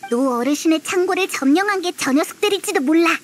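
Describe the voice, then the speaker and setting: A woman voicing a small, perky, childlike fairy companion in an anime-style game, squeaky, eager and blunt. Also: expressive, fast, slight background noise. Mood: eager, determined. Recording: music behind the voice.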